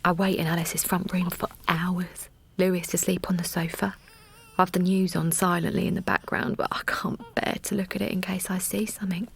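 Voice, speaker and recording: A woman playing a chatty, excitable mum in a naturalistic audio drama. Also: fairly low pitch, normal speed, gravelly. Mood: anxious, hushed. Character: Linda, chatty Essex mum, warm and excitable.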